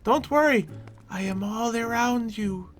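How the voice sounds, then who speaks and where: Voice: medium pitch, unhurried, lightly acted. A performer in a Minecraft roleplay playing an eccentric, mischievous schemer with a theatrical, slightly formal and playful way of talking. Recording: music behind the voice.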